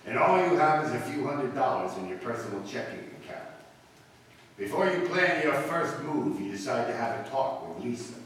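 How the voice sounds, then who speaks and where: A man acting as the ominous narrator of a 1940s-style radio crime play, measured and dramatic. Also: medium pitch, big pitch swings, a bit bright. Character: ominous, knowing male narrator of a crime story. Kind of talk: 1940s radio suspense narration, second person. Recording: distant, echoey hall, background noise.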